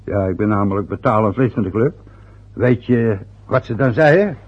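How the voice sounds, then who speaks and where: A man's voice: on the low side, animated, moderate pace, husky. An actor playing a bitter middle-aged father who grumbles and reproaches his son. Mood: reminiscing. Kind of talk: radio play acting. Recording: dull lo-fi old radio recording.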